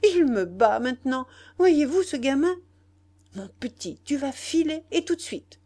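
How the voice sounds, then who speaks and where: Brash young kept woman played by female reader, high voice, impatient, scornful, slangy audiobook dialogue. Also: animated, moderate pace, mellow. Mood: angry, impatient. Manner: exclamatory, scolding, slangy.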